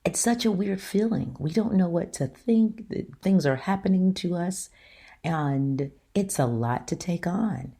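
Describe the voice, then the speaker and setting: A middle-aged woman with a deep voice hosting her own talk show, speaking earnestly and emphatically to her listeners. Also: expressive, unhurried, vocal fry, warm tone, slightly rough. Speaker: show host. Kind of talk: solo opinion talk.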